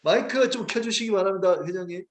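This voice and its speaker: Brief: man with a high voice, professor moderating online, polite and encouraging. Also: fairly quick. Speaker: host and professor.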